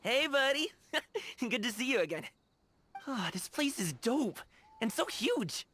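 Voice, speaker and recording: A woman's voice: on the high side, sing-song, a little slow, a bit bright, slight background noise. A woman voicing an upbeat, friendly young man in a video game, talking in a casual, slangy, enthusiastic way. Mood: excited, playful. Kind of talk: video game character dialogue.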